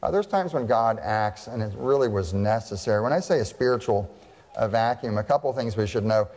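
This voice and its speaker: An American pastor preaching a sermon, talking to the congregation in a conversational way and asking them frequent questions. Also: male voice, on the low side, lively intonation, a little fast, a little mellow, long reverb tail. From United States. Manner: conversational, asks the audience questions.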